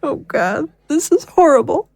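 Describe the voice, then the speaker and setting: A woman playing a guilt-ridden, worn-down young mother in an audio drama, speaking haltingly and emotionally. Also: high-pitched, big pitch swings, a little mellow, dry recording, exaggerated voice. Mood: anguished, guilty. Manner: halting, emotional confession with sighs.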